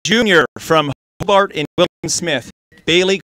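A young man at an outdoor podium microphone, reading out award winners in a steady, formal way. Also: on the high side, moderate pace, no room sound. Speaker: awards presenter. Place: outdoor stage by a river.

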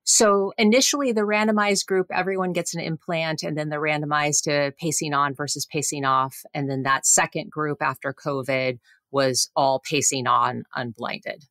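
A woman's voice: low voice, steady tone, normal speed, a bit bright, no room sound. A woman hosting a professional medical interview in a conversational style. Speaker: podcast host, physician.

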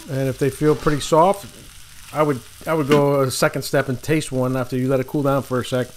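A man's voice: medium pitch, slight background noise, poor audio. Rambling man narrating an outdoor cookout. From Florida. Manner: relaxed, rambling, self-correcting, chuckles at mistakes. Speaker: cooking channel host. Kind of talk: casual cooking demonstration. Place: outdoors by a lake at a griddle. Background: sizzling food on a griddle.

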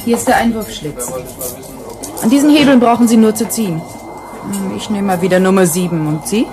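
A woman's voice: medium pitch, animated, brisk, over music, natural voice. An actress playing a modest, candid female stenographer with a reserved manner in a German science-fiction radio drama. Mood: matter-of-fact.

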